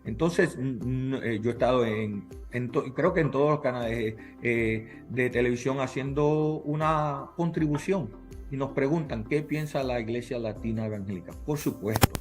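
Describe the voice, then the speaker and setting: A man's voice: medium pitch, moderate pace, soft background music. Earnest man, emphatic lists. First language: English. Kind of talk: interview answers.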